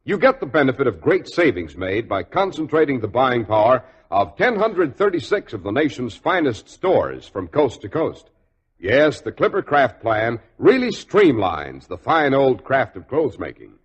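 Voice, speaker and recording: A man's voice: mid-range voice, big pitch swings, moderate pace, breathy edge. A man announcing on 1940s radio with an emphatic, salesmanlike delivery. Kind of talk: 1940s radio advertising and narration.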